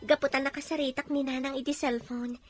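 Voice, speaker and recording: A woman's voice: high voice, level tone, fast talker, faint music behind, lightly acted. A woman acting an earnest, wistful young mother confiding in a friend, with a Filipino accent, in a melodrama.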